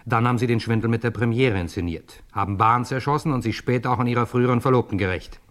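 An actor playing a tough, mocking police lieutenant in a radio crime drama, hard-boiled and insistent. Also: male voice, medium pitch, quick pace, a bit bright, close to an everyday voice. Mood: accusing.

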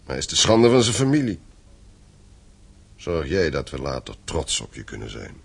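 A man's voice: very deep voice, wildly swooping pitch, moderate pace, gravelly, slightly rough, heavily acted. An actor in a radio play playing a stern, domineering middle-aged father who owns a mine, commanding and reproachful. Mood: grave, admonishing. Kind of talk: Dutch radio play acting.

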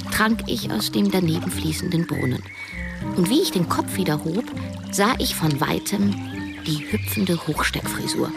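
A conflicted, stubborn cleaning woman narrating a radio drama. Her voice is on the low side with a gravelly creak, confiding and wry, with music behind. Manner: confiding, hesitant in dialogue. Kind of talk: German radio drama first-person narration.

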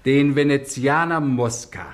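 An actor playing a stern, dignified Venetian judge in a German radio drama, measured and authoritative. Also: male voice, mid-range voice, natural voice. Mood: solemn reading.

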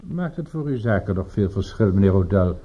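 A man's voice: fairly low pitch, expressive, a little fast, breathy edge, highs cut off. An actor playing a polite, formal, wealthy businessman in a radio drama. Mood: courteous.

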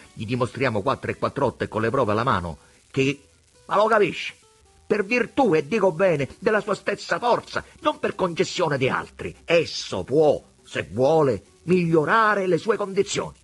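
A man reading aloud and voicing a hot-headed former sacristan turned agitator who makes fervent, loud speeches. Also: mid-range voice, big pitch swings, normal speed, husky, faint music behind, voice pushed up. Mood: preaching.

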